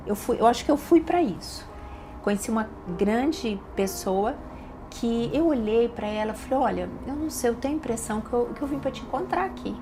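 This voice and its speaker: A middle-aged woman with a Brazilian Portuguese accent, a doctor and podcast guest, giving a personal testimony with emotional, emphatic repetitions. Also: fairly high pitch. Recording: audible background noise.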